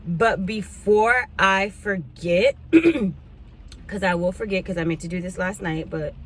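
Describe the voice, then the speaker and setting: A woman, chatting casually to camera as a couponing vlogger, rambling through asides and clearing her throat now and then. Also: fairly low pitch, steady tone, a little slow, fairly bright tone, rough recording. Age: 40s. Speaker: couponing YouTuber.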